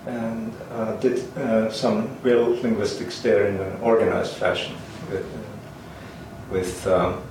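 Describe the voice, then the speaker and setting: An older man from Finland who grew up speaking Swedish, Finnish and German, recalling his life in an interview with hesitant, halting speech. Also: fairly low pitch, little pitch movement. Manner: hesitant, many ums and restarts, chuckles. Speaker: interviewee, linguist. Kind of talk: oral history interview. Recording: roomy, distant, faint hiss.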